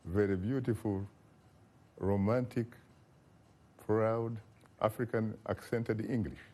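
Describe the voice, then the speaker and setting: A man hosting a broadcast interview, asking measured questions with frequent pauses and fillers. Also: fairly low pitch, steady tone, warm tone. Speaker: talk show host.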